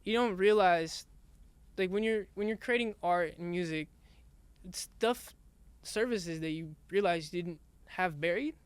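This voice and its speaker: A young man, a rap artist being interviewed, talking in a soft, halting way with many restarts. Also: sits high, no echo. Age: young adult. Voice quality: raspy singing voice. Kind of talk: casual interview chat.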